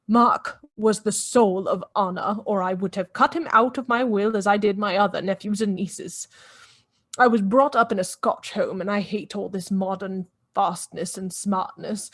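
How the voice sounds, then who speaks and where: An actress playing a judgemental, wealthy old widowed aunt in a radio play, speaking in a pompous, formal and disapproving way. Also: female voice, medium pitch, steady tone, moderate pace, lightly acted. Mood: stern, proud.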